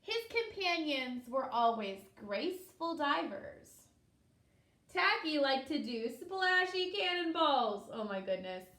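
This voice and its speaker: A woman preschool teacher reading a story aloud to young children, expressively, pausing to explain and ask simple questions. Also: high voice, very animated, a bit bright. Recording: echoey room.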